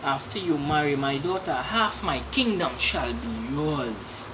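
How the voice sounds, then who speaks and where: Woman acting male chief, folktale storybook, solemn, formal, measured proclamations, low register, background hum. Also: animated. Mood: solemn, proclaiming. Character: village chief, solemn male ruler announcing a wedding.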